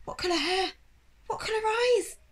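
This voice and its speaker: A woman's voice: very high voice, moderate pace, voice pushed up. A woman voicing an anxious, eager young girl in a children's storybook, with urgent, insistent questioning. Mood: urgent, pleading.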